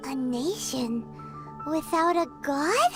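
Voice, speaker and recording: An actress voicing a tiny, chirpy and curious female fairy companion in a video game, with a high, squeaky, childlike voice. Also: big pitch swings, slow, exaggerated voice. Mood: shocked. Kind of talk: game voice acting. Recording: game audio with music behind.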